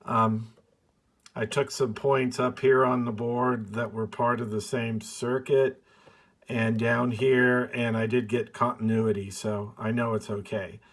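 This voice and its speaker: A man giving a casual, unscripted show-and-tell as a hobbyist, halting now and then as he thinks aloud. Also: mid-range voice, level tone. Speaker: hobbyist presenter of a radio repair video.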